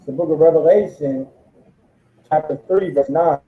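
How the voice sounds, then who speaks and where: Higher-pitched man on tinny, echoing line. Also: normal speed, a little hiss or hum. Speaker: scripture reader assisting a preacher. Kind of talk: reading scripture aloud and responding to the preacher. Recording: tinny phone-like line with roomy echo.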